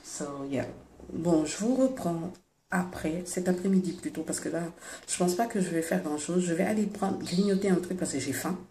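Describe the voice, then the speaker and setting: A woman with a Senegalese French accent, congested with a cold, chatting casually to her vlog viewers in a hesitant, pausing way. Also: on the low side, mellow, echoey room, slight background noise. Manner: chatty, hesitant with many pauses. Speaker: vlogger.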